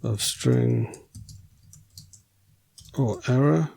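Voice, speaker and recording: Husky man live coding, voice going. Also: medium pitch, very slow. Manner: relaxed, self-correcting, wry asides. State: losing his voice. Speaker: programming tutor. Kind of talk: thinking aloud while live coding.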